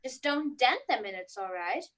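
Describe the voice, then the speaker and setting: A woman's voice: on the high side, very animated, normal speed, a bit bright. A woman playing an amused, easygoing housewife mother in a radio play. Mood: mildly curious.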